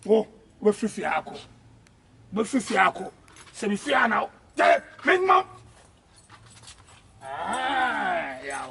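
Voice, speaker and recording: A man playing a gruff, short-tempered boss in a comic skit, mixing pidgin and Twi and swinging from muttering to shouting. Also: high-pitched, sing-song, takes it slowly, long pauses, exaggerated voice. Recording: music playing over dialogue. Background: music.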